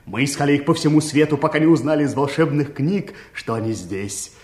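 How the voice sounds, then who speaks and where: An actor playing a brusque, menacing pirate envoy in a fairy-tale play, with blunt, clipped threats. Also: male voice, a little high, a little fast, a little mellow, natural voice. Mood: urgent, deferential. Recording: roomy echo.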